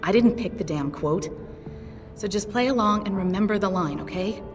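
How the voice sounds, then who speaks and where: A woman's voice: mid-range voice, lively intonation, moderate pace, close to an everyday voice. An actress playing a tough, guarded female pilot who swears often in a video game cutscene. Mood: earnest. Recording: distant voice with long reverb over music.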